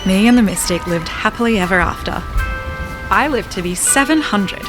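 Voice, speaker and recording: Give the impression of a self-assured poodle sage voiced by a woman in a comic audio play. The delivery is earnest and gently grand, like a kindly prophet telling a story, at an average female pitch. Music plays clearly behind. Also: expressive, normal speed, natural voice, low-quality recording. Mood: calm, assured. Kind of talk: comedic audio drama acting.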